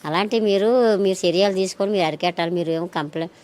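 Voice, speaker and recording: Middle-aged woman, somewhat low pitch, plaintive, repetitive, interview answers. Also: fast talker, a little mellow. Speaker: interviewee, bereaved mother.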